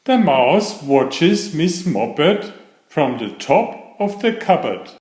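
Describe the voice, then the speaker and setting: Male children's storyteller, unhurried read with animated intonation, echoey room sound. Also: on the high side. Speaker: storyteller reading children's picture books. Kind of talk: scripted children's story narration. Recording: roomy echo on the voice.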